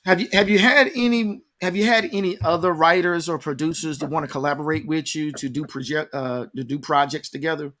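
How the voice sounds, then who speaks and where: A man's voice: on the high side, steady tone, a little fast, few pauses, pushed and bright. A man working as an interviewer, asking casual questions with hesitant, repeated phrasing.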